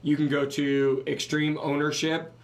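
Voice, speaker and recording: Hoarse joking man co-hosting a podcast. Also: on the high side, little pitch movement, unhurried. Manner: joking, loose, rambling. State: losing his voice. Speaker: podcast co-host. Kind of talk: casual live podcast chat.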